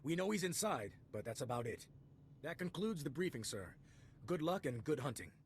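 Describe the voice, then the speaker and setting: Animated eager male corporal, higher pitch, crisp military reporting, video game, light ambient noise. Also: normal speed. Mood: dutiful, earnest. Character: dutiful young male army corporal giving briefing.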